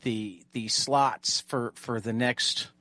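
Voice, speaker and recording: A man chatting casually as a player in a tabletop game, halting and repeating his words. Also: medium pitch, fairly even intonation, bright, forceful tone, dry recording.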